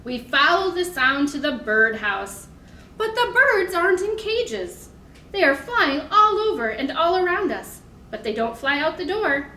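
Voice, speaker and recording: High-voiced American woman leading toddler storytime. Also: bright, forceful tone, recorded from a distance. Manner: simple questions and encouragement addressed to small children. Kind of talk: picture-book reading and sing-along for toddlers. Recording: echoey room with faint background noise.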